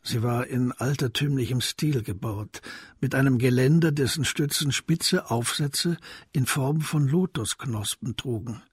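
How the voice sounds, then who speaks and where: A man narrating a scripted crime story in a measured, descriptive, literary way. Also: mid-range voice, husky, dry recording, clean studio sound.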